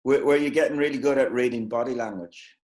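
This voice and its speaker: A man of middling pitch hosts a casual comedy interview, asking questions with plenty of fillers and false starts. His voice carries a roomy echo. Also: fast talker, darkish tone. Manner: stumbling, many fillers and restarts. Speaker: comedy show host.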